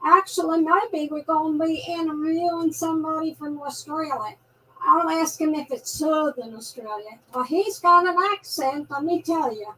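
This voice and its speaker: Older New York woman, high voice, distant mic. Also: moderate pace, pushed and bright. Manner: folksy, chatty, many restarts and fillers. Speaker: guest activist. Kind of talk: live online talk show, casual monologue. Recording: distant mic with faint background noise.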